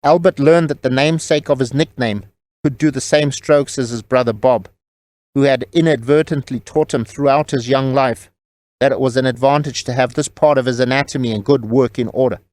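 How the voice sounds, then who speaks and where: A man's voice: medium pitch, fairly even intonation, fairly quick, a little mellow, dry recording. A man reading scripted novel narration as the storyteller of an audio drama.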